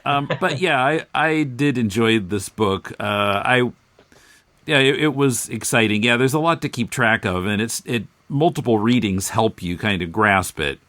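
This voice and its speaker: A man's voice: mid-range voice, moderate pace, a bit bright, no room sound. A man hosting a podcast, chatting casually and asking questions. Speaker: podcast host. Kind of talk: casual chat and interviewing.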